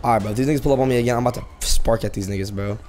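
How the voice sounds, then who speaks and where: A man's voice: mid-range voice, very fast, a little mellow. A man streaming street-crime game roleplay, talking in confrontational slang and insistently repeating himself over some noise.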